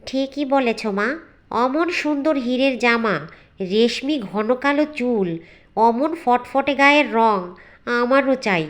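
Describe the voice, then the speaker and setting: A woman puts on an envious young village stepsister for a cartoon fairy tale. The pitch is very high. She is pushy and coaxing, nagging in a theatrical way. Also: a little slow. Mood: greedy, eager.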